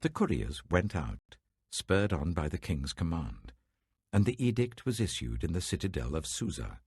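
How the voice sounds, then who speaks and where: A man reads scripture with a very low, husky voice that swings widely in pitch. His delivery is measured and dramatic, and the sound is dry and close. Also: big pitch swings, darkish tone, no echo. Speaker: Bible reader.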